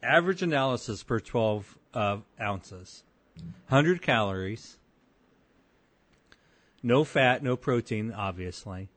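A man in his thirties hosts a casual podcast chat. His voice sits at an ordinary middle pitch. He teases his guest with a dry, deadpan wit. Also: slow, pauses often. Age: 30s. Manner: dry, teasing humor. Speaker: podcast host.

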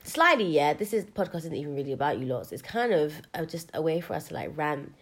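Husky young woman, low-ish voice, talks super fast and chatty, pitch jumps all over. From London. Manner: chatty, slangy, excitable. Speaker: podcast co-host, optometrist. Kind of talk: casual chat.

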